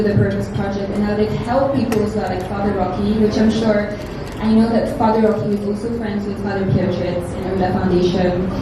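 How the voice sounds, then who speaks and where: Woman speaking earnestly in an echoey hall. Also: medium pitch. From France. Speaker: pageant titleholder. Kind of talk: answering at a press conference. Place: large echoey room at a press conference. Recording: echoey hall, distant mic, clear noise.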